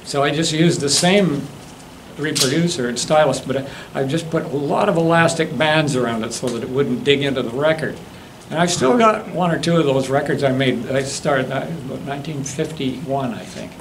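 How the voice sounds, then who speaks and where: A man in his seventies giving an informal demonstration talk, halting and anecdotal as he rambles along. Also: mid-range voice, rough recording. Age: 70s. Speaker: guest demonstrator and hobbyist. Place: classroom lecture room. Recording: faint room noise.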